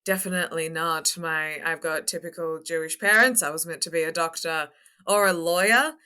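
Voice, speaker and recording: A young Australian woman answering interview questions as a guest, articulate and emphatic, with measured phrasing. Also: low-pitched, sharp tone, clean tone. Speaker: podcast guest, construction coach. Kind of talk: interview talk.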